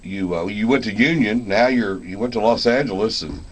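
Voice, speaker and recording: A man hosting a radio show in a folksy, halting, casual style. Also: lowish voice, breathy edge, rough recording. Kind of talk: casual radio interview and announcing.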